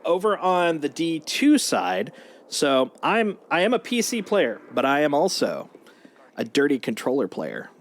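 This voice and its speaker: A man's voice: a little high, wildly swooping pitch, measured pace. A man chatting casually and in a rambling way as he live streams a video game. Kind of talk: casual gaming stream chat.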